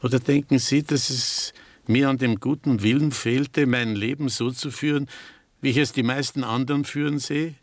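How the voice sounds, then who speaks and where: An actor playing a sardonic, disillusioned doctor in a German radio drama, speaking in a dry, articulate, ironic way. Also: male voice, mid-range voice, fairly even intonation, normal speed, dry recording, lightly acted. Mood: bitter irony.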